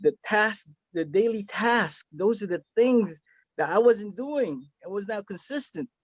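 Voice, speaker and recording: High-voiced earnest man on a video call. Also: lively intonation, measured pace, slightly rough, dry recording, muffled recording. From Philippines. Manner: earnest, rambling with many fillers and restarts. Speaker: guest giving a testimonial. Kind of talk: casual video-call chat.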